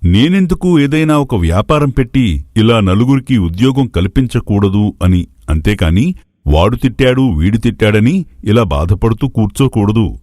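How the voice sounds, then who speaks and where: A man's voice: on the low side, animated, normal speed, darkish tone, dry recording, studio quality, natural voice. A man playing a wise, patient elderly adviser in a moral story, speaking in a didactic, reassuring way. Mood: patient advising.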